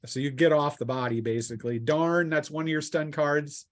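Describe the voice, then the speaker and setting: A man's voice: a little high, flat delivery, normal speed, dry recording, studio quality. A man acting as game master, refereeing a tabletop game and narrating the action in a casual, improvised way with vivid touches.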